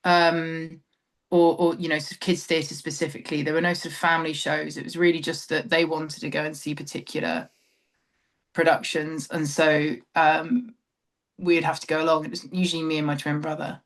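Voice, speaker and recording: A woman's voice: deep voice, little pitch movement, moderate pace. A woman in her thirties from London with a southern English accent, talking reflectively and self-deprecatingly as an interview guest, thinking aloud as she recalls her experiences. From London, England. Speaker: interview guest, theatre director. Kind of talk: conversational interview, personal recollection.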